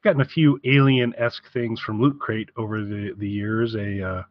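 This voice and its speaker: A man co-hosting a podcast in casual conversation, speaking haltingly and repeating words as he goes. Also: fairly low pitch, fairly even intonation, no room sound, dull audio. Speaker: podcast co-host.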